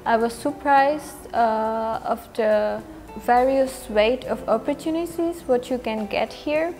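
Young woman, fairly high. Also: darkish tone, soft background music. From Czech Republic. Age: young adult. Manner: measured, pausing to find words. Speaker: exchange student. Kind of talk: interview answers to camera. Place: indoor room with wooden cabinets.

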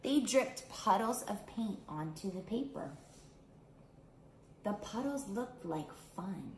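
Middle-aged female storytime reader for kids, slow and simple delivery, echoey room with clear background noise. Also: mid-range voice, animated. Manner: simple, clear phrasing with questions to young listeners. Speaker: children's art studio teacher reading storytime. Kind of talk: picture book read-aloud for young children. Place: small indoor room. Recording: roomy indoor echo, clear background noise.

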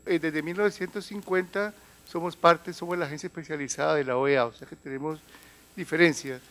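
A man in his fifties with a Colombian accent gives a semi-formal conference presentation, explaining things in a halting way with repeated phrases. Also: on the high side, fairly even intonation, fairly bright tone. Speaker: health organization advisor giving a talk. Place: large conference room. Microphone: handheld or table microphone.

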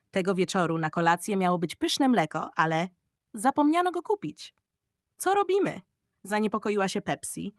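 A woman narrates a children's audiobook with expressive storybook delivery. Her pitch sits in the middle for a female voice, and the recording is very dry, with no room around it. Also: dry recording.

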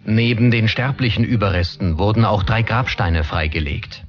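A man's voice: lowish voice, moderate pace, lo-fi sound. A man reading scripted documentary narration as the narrator. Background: prominent background music.

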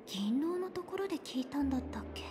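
A gentle young girl in anime-style game dialogue. The voice is high and soft, earnest with quiet determination, with music clearly audible behind it. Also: expressive, fast. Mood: musing. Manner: soft-spoken, sincere. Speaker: game voice actor. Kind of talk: Japanese game story dialogue. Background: game background music.

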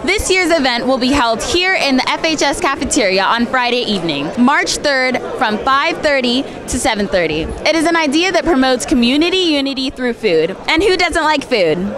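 A woman's voice: sits high, big pitch swings, normal speed, few pauses. A young woman student reporter reading a news segment into a handheld mic in a school cafeteria. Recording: busy crowd behind.

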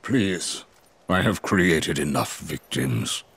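An actor playing a grave, remorseful older scientist in a video game, solemn and deliberate, with a husky voice. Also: male voice, expressive, fairly bright tone, dry recording, full character voice. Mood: pleading, grave. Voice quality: husky, very deep. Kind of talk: dramatic video game cutscene acting.

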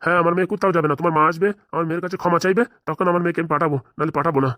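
A man's voice: a little high, fairly even intonation, rapid-fire, fairly bright tone, dry recording, studio quality, lightly acted. A man playing a stern, protective middle-aged father-in-law who lectures insistently in a comic cartoon. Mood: stern, indignant. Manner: insistent, lecturing, rhetorical questions. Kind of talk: comic cartoon drama dialogue.

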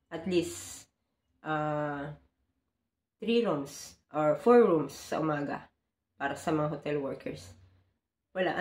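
Low-voiced woman vlogging in an echoey room. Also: a little mellow. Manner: earnest, explanatory, mixing in English phrases. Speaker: hotel housekeeper vlogger. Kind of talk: casual vlog talk to camera. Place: small bedroom indoors. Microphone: phone camera at close range.

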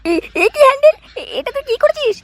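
A man voicing a shrill, scolding wife and mother in a comedy cartoon. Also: expressive, quick pace, fairly bright tone, slight background noise. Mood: startled, scolding.

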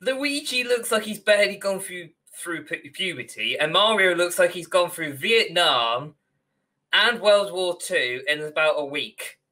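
A man's voice: high voice, lively intonation, measured pace, bright, forceful tone. A young man co-hosting a podcast over a video call, ranting in a casual, emphatic, mildly sweary way. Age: young adult. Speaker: podcast co-host. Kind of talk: casual opinionated discussion. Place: indoor room, video call.

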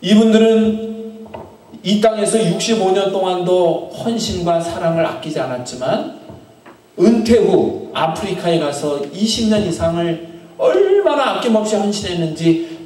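A middle-aged man with a high voice preaches a storytelling sermon into a handheld microphone. His phrases drift off softly at the ends, broken up by long pauses. The small chapel echoes heavily around him, and he sounds set back from the mic. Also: lively intonation. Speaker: pastor. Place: small chapel in front of an altar. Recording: very echoey room, from a distance.

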